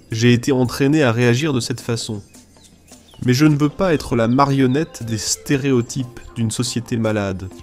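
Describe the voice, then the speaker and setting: An actor playing a jealous husband who reasons through his feelings in an earnest inner monologue for an animated film. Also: medium pitch, lightly acted. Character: jealous husband turned thoughtful, adult man.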